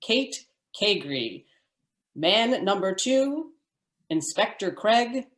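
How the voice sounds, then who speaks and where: Lively, slow-paced woman announcer in an echoey room. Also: medium pitch. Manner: formal presentational delivery. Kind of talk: scripted old-time radio announcing. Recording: roomy, echoing sound.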